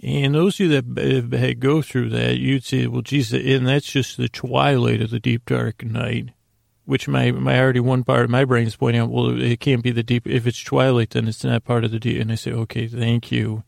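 A man speaks in a heavily creaky, fry-laden voice at a middle pitch, close and dry on the mic. He hosts a sleep podcast, wandering through his monologue with halting starts and self-interruptions. His sentences often trail into side tangents. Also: level tone, no echo. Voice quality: very creaky, gravelly. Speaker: podcast host.

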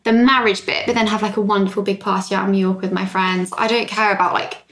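Fast-talking woman in her twenties. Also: medium pitch, flat delivery, a bit bright. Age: 20s. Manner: rambling, heavy use of "like". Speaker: podcast co-host. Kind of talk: casual chat.

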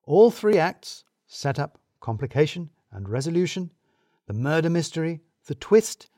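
A man's voice: a little high, sing-song, unhurried, warm tone, dry recording, studio quality. A man narrating a scripted first-person mystery story in a wry, arch, wordy storyteller's manner.

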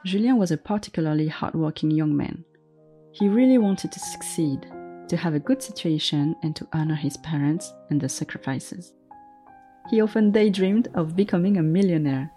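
A woman narrating a story in a gentle, measured, scripted way. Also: low-pitched. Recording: faint music underneath.

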